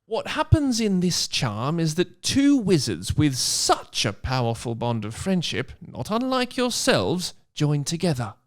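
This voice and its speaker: An earnest schoolgirl witch, put on by a man, lectures on magic in a comic storybook reading. The voice sits on the high side and moves very freely up and down, with deliberate, emphatic explanations. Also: wildly swooping pitch. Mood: earnest, explanatory.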